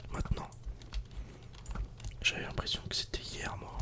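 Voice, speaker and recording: A man whispers a calm, casual ASMR game commentary in a breathy, unvoiced murmur, close to the microphone. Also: measured pace. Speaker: ASMR gaming video creator.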